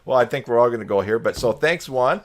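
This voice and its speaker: A man's voice: medium pitch, lively intonation, quick pace, a little hiss or hum. A man hosting an informal live group video chat, talking in a casual, rambling way. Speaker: live stream host.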